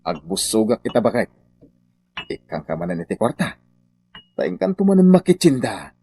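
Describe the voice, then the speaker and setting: A man's voice: fairly low pitch, sing-song, normal speed, dark tone, husky, faint music behind. A man acting a shifty, defensive Filipino contractor boss in a radio comedy drama, with a blustering manner. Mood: easygoing. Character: shifty, defensive contractor boss dodging his workers' wages.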